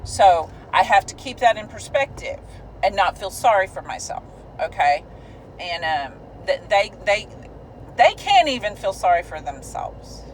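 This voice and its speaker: A woman's voice: mid-range voice, sing-song, measured pace. A middle-aged woman with a Texas accent giving an emotional, rambling personal monologue, often repeating and restarting her words. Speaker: blogger and commentator. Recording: distant mic, loud traffic noise.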